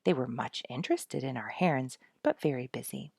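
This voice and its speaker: A woman's voice: rumbling low, brisk, vocal fry, a little mellow, husky, dry recording, studio quality. A woman storyteller reading a scripted nature narrative aloud.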